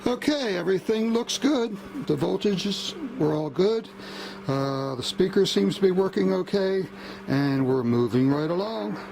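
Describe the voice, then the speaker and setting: A man giving a casual, unscripted demonstration as a hobbyist repairer, halting and methodical. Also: sits high, animated, darkish tone. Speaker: hobbyist radio restorer. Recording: clearly noisy hobby video recording.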